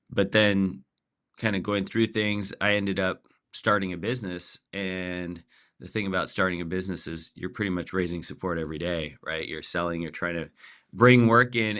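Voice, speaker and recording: A man's voice: low-pitched, fairly bright tone, smooth. A man in his forties from Pakistan, a podcast guest talking casually with many fillers and restarts. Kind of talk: casual interview chat.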